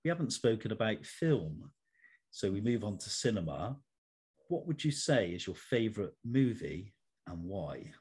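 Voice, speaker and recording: Male interview host, lower register. Measured, formal phrasing, prepared questions. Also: sing-song, a little mellow, slightly rough.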